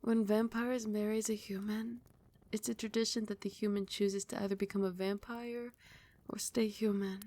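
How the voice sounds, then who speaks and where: A woman voicing a loving, protective vampire queen in an intimate ASMR roleplay, breathy and often sinking into a whisper, soothing and doting. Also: mid-range voice, flat delivery, moderate pace, warm tone, close to an everyday voice. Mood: tender, earnest. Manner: doting, soothing, affectionate pet names.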